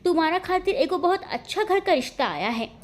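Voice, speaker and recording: Woman acting a scolding, high-pitched Bihari great-aunt. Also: very animated, a little fast. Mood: stern, scornful. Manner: scolding, taunting, blunt. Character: sharp-tongued older great-aunt, bossy, taunting, rural.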